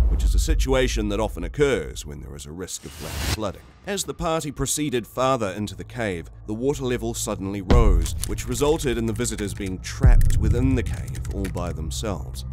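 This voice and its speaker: A man reading a scripted documentary narration in a formal, wordy manner. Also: fairly low pitch.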